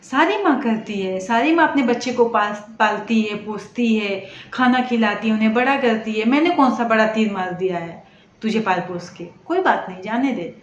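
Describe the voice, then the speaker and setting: A woman's voice: on the high side, moderate pace, echoey room, highs cut off, a little hiss or hum, close to an everyday voice. A woman voicing a patient, self-sacrificing old mother who chides plainly, in a storytelling. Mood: dismissive. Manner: chiding, plain-spoken.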